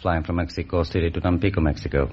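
A man in his late thirties with a Spanish accent, answering quiz show questions in earnest, halting, formal English. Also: sits low, fairly even intonation, darkish tone. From Spain. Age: late 30s. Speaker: quiz contestant. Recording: muffled old radio recording, faint noise.

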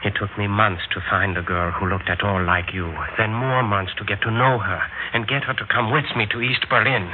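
A man acting a smooth, impatient, scheming German husband in an old-time radio drama. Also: fairly low pitch, fairly even intonation, moderate pace, few pauses, close to an everyday voice. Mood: impatient, defensive. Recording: noisy, narrow-band old radio recording.

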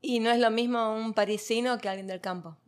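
A woman's voice: fairly high pitch, fairly even intonation, fairly quick. A woman from Argentina, a guest in an interview, speaking reflectively with many fillers and light laughs. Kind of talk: conversational interview.

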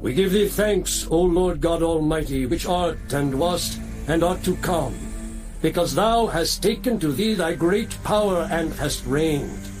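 A man's voice: on the high side, lively intonation, unhurried, poor audio. A man playing a reverent heavenly elder in a dramatized scripture audiobook, declaiming in solemn worship. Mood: reverent, exalted. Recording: music behind the voice.

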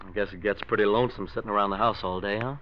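An actor playing a scheming, hypocritical nephew who feigns friendly concern, in 1940s radio drama style. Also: male voice, on the low side, steady tone, racing, slight background noise, lightly acted. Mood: falsely friendly. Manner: falsely casual, insinuating. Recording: dull old radio recording.

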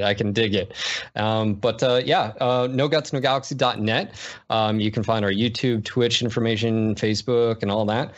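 A man's voice: fairly low pitch, muffled recording. A man guesting on a podcast, a streamer giving thanks in a loose, rambling way. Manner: loose, filler-heavy. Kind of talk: casual podcast chat.

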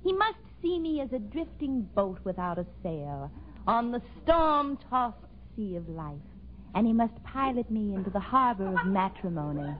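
A woman's voice: medium pitch, very animated, normal speed, close to an everyday voice. A woman playing a haughty, romantic young woman being courted, in lofty 1940s radio comedy style. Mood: dreamy. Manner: theatrical, lofty. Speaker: actress in comedy sketch. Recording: muffled old radio with faint noise.

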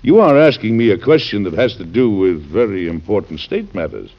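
A man playing a dignified, cautious American president in old-time radio drama, speaking deliberately with some hesitation. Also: fairly low pitch, expressive. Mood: guarded, stern. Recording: muffled vintage radio recording.

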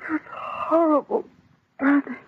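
A woman's voice: high-pitched, steady tone, takes it slowly. A young actress playing a distraught, pleading young woman in 1940s radio melodrama. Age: young adult. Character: distraught young woman frantic for her sweetheart. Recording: muffled, hissy old radio recording.

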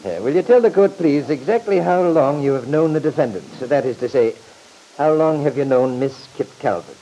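Pressing, high-pitched, animated lawyer. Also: male voice, a little fast. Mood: probing, formal. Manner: pressing, formal, repeats himself with stammered lead-ins. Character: insistent, coaxing male courtroom lawyer questioning a witness. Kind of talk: old-time radio drama acting. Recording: noisy vintage radio recording.

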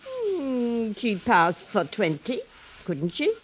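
Sly orphanage keeper in vintage radio drama: hard, grasping, falsely sweet, high swooping voice. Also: female voice, wildly swooping pitch, takes it slowly, muffled recording, slight background noise, heavily acted. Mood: wheedling. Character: wheedling, hard, mercenary English orphanage mistress. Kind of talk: 1950s radio drama acting.

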